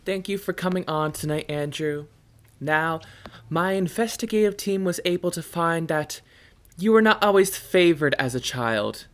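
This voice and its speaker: A young student playing a smooth, nosy talk-show host doctor in a radio play. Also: medium pitch, lively intonation, moderate pace, natural voice. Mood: composed, probing. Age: teenager or young adult student.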